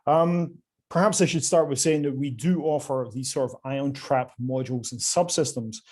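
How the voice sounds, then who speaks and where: A man's voice: mid-range voice, fairly even intonation, normal speed, a little mellow. A man with a Scottish accent presenting his company at an online conference, polite and often hesitating with fillers. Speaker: company sales director presenting. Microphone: video call.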